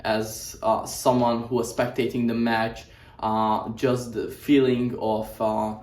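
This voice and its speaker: Young man from Hungary, student interview, earnest, monotone, roomy sound from a distant mic. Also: mid-range voice, slow. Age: young adult. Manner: earnest, many "uh" fillers, flat delivery. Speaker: boarding school student. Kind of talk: casual interview answers. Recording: echoey room, mic at a distance.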